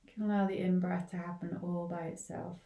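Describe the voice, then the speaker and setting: A woman leading a guided body scan meditation in a gentle, unhurried way, leaving long pauses between short phrases. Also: lowish voice, flat delivery, gravelly. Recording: roomy, echoing, distant from the mic.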